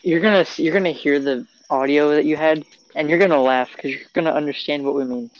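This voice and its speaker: A man's voice: on the high side, quick pace. A young man casually teasing his friends as a podcast co-host over an online call. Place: remote voice call. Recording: dull lo-fi online call audio.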